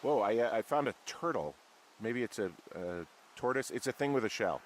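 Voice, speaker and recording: A man voicing a weary, wry, awkward male fire lookout in a video game, speaking hesitantly with low-key dry humor. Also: expressive, normal speed, slight background noise, lightly acted. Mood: playful. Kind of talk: naturalistic video game voice acting.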